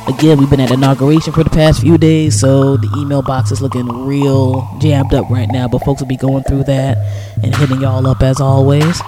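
An American man hosting a radio show, talking casually with informal slang and shout-outs. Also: medium pitch, flat delivery, normal speed. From United States. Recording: loud music under the voice. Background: music.

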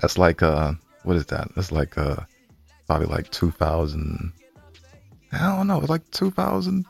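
A man's voice: deep voice, lively intonation, normal speed, creaky, a little mellow, slightly rough, no room sound, soft background music. A man co-hosting a podcast, chatting casually with a bantering, mock-exasperated manner. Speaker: podcast co-host.

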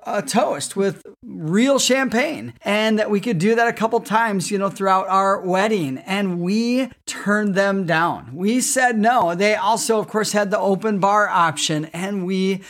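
A man's voice: sits high, measured pace, a bit bright. A middle-aged man, talking casually and effusively as a podcast co-host.

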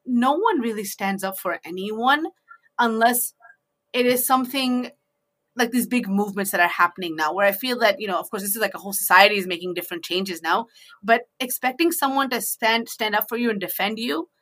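Female discussion host, bright tone, conversational and emphatic, sharing advice informally. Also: medium pitch, a little fast. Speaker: host in a two-person discussion.

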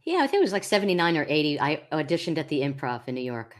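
A woman in her sixties with a deep voice, a comedian guest chatting casually, with hesitations and teasing. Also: rapid-fire. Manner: relaxed, hesitant, full of ums and teasing. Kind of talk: casual interview chat.

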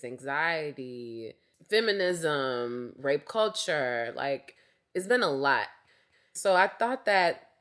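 A young woman hosting a casual solo podcast, candid and chatty, her voice slightly raspy from a cough. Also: sits low, fairly bright tone. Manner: candid, chatty, frequent fillers like "like" and "um". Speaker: podcast host.

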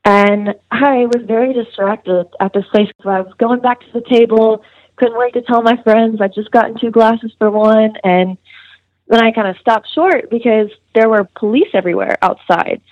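A woman's voice: fairly high pitch, fairly even intonation, normal speed, no echo. A young woman, a student, earnestly recounting a detailed story in an interview, with casual fillers. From United States. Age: young adult. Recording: dull, muffled dry recording.

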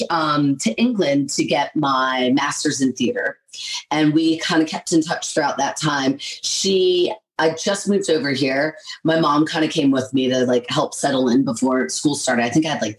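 Low-voiced American woman, chatty. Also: poor audio. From United States. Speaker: guest, theater artist. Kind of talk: casual chat.

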